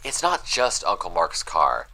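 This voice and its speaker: A man's voice: low-pitched, huge pitch swings, unhurried, bright, forceful tone, exaggerated voice. A man playing a weary, skeptical, sardonic young man in an audio drama, with a dry, grumbling delivery. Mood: irritated, dry.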